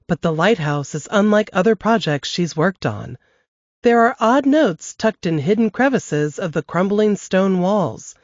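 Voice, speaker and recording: Woman reading a book blurb, steady, dull dry mic sound. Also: on the low side, no echo.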